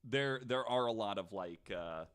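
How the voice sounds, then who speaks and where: A man with a low voice hosts a casual podcast. He tells a story conversationally, in loose, informal phrasing full of "like", as if among friends. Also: unhurried, fairly bright tone, smooth. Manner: informal, hedging, frequent "like". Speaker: podcast host telling a true story.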